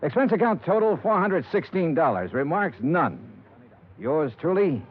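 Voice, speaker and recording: Hardboiled 1950s radio detective, animated and tinny. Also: male voice, on the high side, normal speed, slightly rough. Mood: wry, brisk. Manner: clipped, terse, punchy phrasing. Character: tough, hardboiled male insurance investigator, wry and world-weary. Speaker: star of the radio series. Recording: tinny vintage 1950s radio recording, music.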